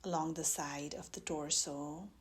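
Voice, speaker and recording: Slow, low-voiced woman guiding meditation. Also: steady tone. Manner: gentle, measured, with long pauses. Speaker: mindfulness meditation teacher. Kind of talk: guided meditation instruction.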